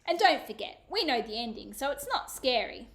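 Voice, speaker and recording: A woman puts on a bright, high-pitched young boy in a storybook, whispering eagerly to his little sister. He is protective and brave and keeps his voice low and reassuring. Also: big pitch swings, moderate pace.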